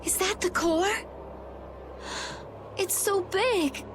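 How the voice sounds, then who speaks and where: An actress playing an excitable young fantasy game heroine, exclaiming in a breathless, alarmed way. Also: female voice, very high voice, very slow, noisy background, exaggerated voice. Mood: amazed. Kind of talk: dramatic video game voice acting.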